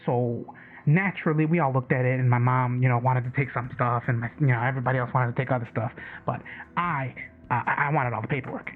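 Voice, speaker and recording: A man's voice: medium pitch, fairly even intonation, fast talker, lightly acted. An actor playing an agitated, obsessive conspiracy caller in a radio drama, stammering, repeating himself and swearing as he rambles. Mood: rambling, casual. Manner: stammering, repetitive, profane rambling. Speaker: caller on a late-night radio show. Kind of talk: audio drama acted as casual talk radio. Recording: tinny phone-like line with background music.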